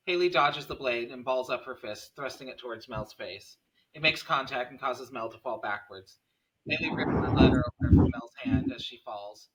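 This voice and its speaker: Bright, forceful male screenplay narrator. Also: mid-range voice, rough recording. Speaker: narrator reading stage directions. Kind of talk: scripted screenplay narration at a table read.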